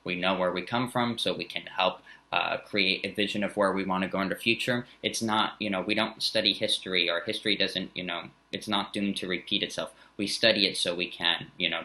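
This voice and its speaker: A man in his thirties, a high school teacher from the Bay Area with a slight German accent, giving an earnest recorded welcome full of fillers and restarts. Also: fairly even intonation, brisk, hardly any pauses, sharp tone, low-quality recording. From San Francisco Bay Area. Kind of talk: recorded back-to-school talk to parents.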